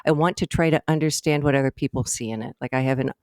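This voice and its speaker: A woman's voice: rumbling low, quick pace, darkish tone, no room sound, studio quality. A woman co-hosting a casual movie podcast, speaking earnestly and with emphasis. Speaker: podcast co-host.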